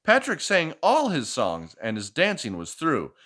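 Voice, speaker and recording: Very animated man reading a children's storybook. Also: fairly high pitch, measured pace. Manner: playful, asking questions and echoing the child's answers. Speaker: children's storytime host reading a picture book aloud. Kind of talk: expressive read-aloud with chatty asides to a child.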